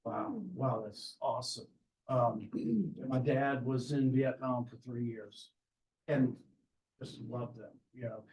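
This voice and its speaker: A man's voice: medium pitch, fairly even intonation, slow pace, a little mellow, poor audio. Chatty, encouraging man teaching a class. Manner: chatty and encouraging, with frequent restarts. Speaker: marketing professor. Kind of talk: classroom introductions.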